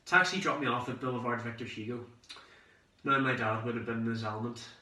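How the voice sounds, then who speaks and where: A man performing a theatrical monologue as a cheeky, excitable young man telling of his summer abroad, in a dramatic conversational way. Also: mid-range voice. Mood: wry, nervous. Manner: dramatic, conversational storytelling with swearing and pauses. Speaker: amateur actor performing a monologue. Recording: roomy echo, distant microphone.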